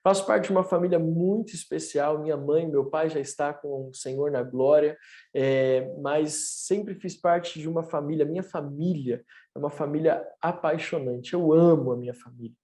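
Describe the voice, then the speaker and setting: A man's voice: on the high side, normal speed, dark tone. A man in his thirties, a pastor, sharing his testimony in an earnest, preaching way for an online church service. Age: 30s. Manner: earnest, preacherly, rising emphasis.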